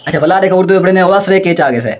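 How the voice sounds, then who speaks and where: A man vlogging casually outdoors in the countryside, slightly hoarse from a sore throat, chatty and excited. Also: high voice, rapid-fire. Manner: chatty, excited, calls out to people. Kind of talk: casual vlog narration.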